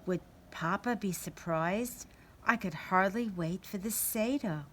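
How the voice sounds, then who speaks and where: A woman reading a children's story aloud in an expressive manner, acting out the dialogue. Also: medium pitch, a little slow, a little hiss or hum. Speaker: story reader.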